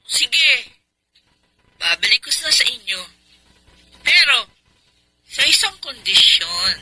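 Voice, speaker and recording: A woman's voice: high-pitched, big pitch swings, takes it slowly, sharp tone, exaggerated voice, low-quality recording. A woman playing a callous, mocking mother who extorts money, in a Filipino radio drama, with a Filipino accent. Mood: brazen, demanding. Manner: mocking, flippant. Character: callous, taunting mother extorting ransom for her own child.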